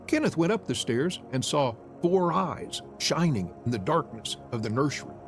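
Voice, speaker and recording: A man streaming a visual novel, narrating it aloud in an expressive storytelling style. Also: fairly high pitch, moderate pace, music in the background.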